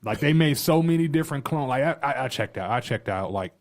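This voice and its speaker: Medium-pitched man chatting casually, blunt. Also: animated, fast talker. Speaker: podcast panelist. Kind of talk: casual chat.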